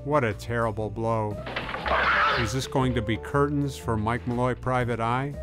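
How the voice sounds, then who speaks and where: Male narrator, mid-pitched, scripted yet conversational history documentary read, guitar music clearly underneath. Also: fairly even intonation, moderate pace. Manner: informal, conversational wording. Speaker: narrator and presenter.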